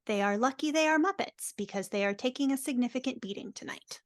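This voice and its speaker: Woman, scripted narrator, slightly high pitch, dry recording, live dramatic reading. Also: fairly quick, no echo. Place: remote video call at home. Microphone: video call microphone.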